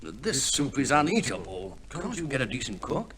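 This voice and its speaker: An amiable, rather self-satisfied army major in a 1950s radio drama. His medium-pitched voice swings very widely up and down, with a formal, earnest manner. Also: very animated, normal speed, fairly bright tone. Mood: earnest, agreeable. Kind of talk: 1950s radio play acting.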